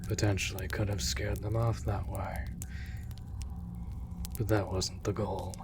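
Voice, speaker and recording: A man playing a stoic but gentle young monster hunter in a roleplay audio drama, terse, measured and soft-spoken. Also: fairly low pitch, lightly acted. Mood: quiet, reflective. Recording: steady rain noise throughout. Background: rain and cave ambience.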